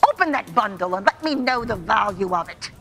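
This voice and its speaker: A woman playing a gleeful, callous old female scavenger in a theatrical radio play, shrill and cackling. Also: lively intonation, a little fast, gravelly. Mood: gleeful, scornful.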